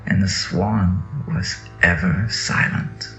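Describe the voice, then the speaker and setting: A man with a gravelly voice gives a slow, dramatic, incantatory reading of mystical scripture. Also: deep voice, fairly even intonation, a bit bright. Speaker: reader of sacred texts. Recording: echoey, dull audio under music. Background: music.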